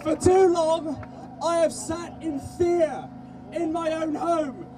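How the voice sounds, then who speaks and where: A man's voice: extremely high pitch, slow pace. A man from Norwich giving an impassioned, emotional speech at an outdoor rally. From Norwich, England. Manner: emotional, raises voice to a shout. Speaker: rally supporter speaking to the crowd. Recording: loud crowd and street noise.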